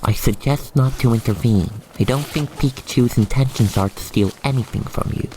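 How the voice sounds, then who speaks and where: A man guiding the listener through an ASMR roleplay in expressive, theatrical, wordy storytelling narration. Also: mid-range voice, moderate pace, slight background noise, poor audio.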